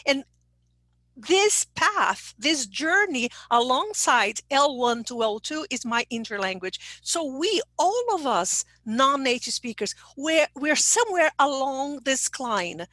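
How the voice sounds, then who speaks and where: Woman, high voice, teacher trainer, explanatory, dry sound. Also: sing-song, slow, pushed and bright, dry recording. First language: Portuguese. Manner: explanatory, checks in with okay. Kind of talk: online presentation.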